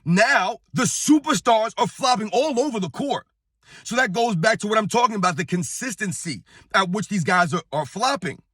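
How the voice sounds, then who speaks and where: A middle-aged man with a hoarse, worn-out voice rants to his viewers about sports. His voice sits high and sounds tense and bright, and he keeps calling them "guys" as he hammers his point home. The sound is close and dry, with no room around it. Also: lively intonation, moderate pace, dry recording. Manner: emphatic, repetitive, addresses viewers as guys. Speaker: sports channel host. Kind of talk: casual talking-head rant. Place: on vacation at a beach.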